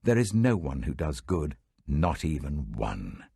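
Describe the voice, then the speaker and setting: A man giving a measured, dramatic reading of Bible passages in a close studio recording. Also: vocal fry, slightly rough, dry recording. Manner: measured, dramatic, lively pitch shifts. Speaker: Bible reader. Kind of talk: expressive scripture reading.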